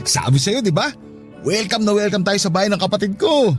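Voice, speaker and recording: An affectionate, trusting provincial big brother speaks in a fairly high, very lively voice. His Filipino accent carries swooping, exclamatory radio drama delivery, with faint music underneath. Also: moderate pace. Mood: overjoyed, excited. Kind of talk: emotional radio drama acting.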